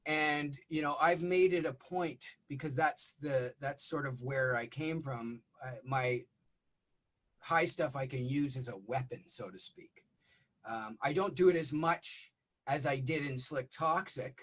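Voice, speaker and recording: A middle-aged man, a rock vocalist, talking in a casual interview with a smoky, raspy voice and lots of restarts. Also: mid-range voice, measured pace, a bit bright, low-quality recording. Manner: rambling, frequent restarts and fillers.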